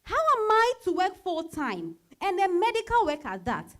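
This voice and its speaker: Woman playing exasperated wife, high animated voice. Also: normal speed, exaggerated voice. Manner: argumentative, emphatic. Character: exasperated, sarcastic working mother quarrelling with husband. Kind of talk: dramatized novel reading.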